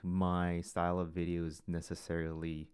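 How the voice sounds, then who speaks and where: A man with a Korean accent, Korean as his first language, hosting a casual talk show in a slow, hesitant way, pausing to form his sentences. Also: low voice, monotone, a little mellow, clear, resonant tone, dry recording, clean studio sound. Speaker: podcast host.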